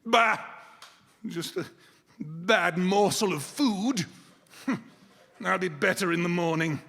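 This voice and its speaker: A man's voice: high-pitched, slow pace, gravelly, a bit bright, full character voice. A man playing a miserly, nervy old man in live radio theater, theatrical and hesitant, given to muttering. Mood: dismissive. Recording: reverberant live theater hall.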